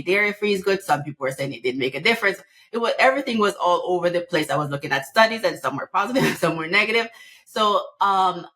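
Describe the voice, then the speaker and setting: A woman's voice: on the low side, fairly bright tone. A middle-aged woman from Jamaica with a Jamaican accent, speaking as a physician guest on a podcast, earnest and full of restarts and repeated words. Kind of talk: podcast interview.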